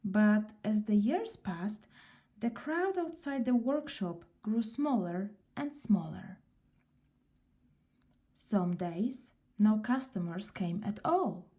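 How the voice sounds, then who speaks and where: A woman reading a children's story aloud in an unhurried, expressive way. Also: mid-range voice.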